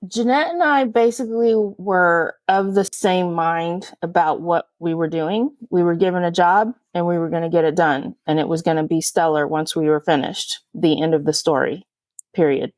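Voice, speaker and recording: Woman, slightly low pitch, emphatic, matter-of-fact, dry humor, close dry recording. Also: normal speed, darkish tone, dry recording. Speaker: film producer, podcast guest. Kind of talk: casual conversation.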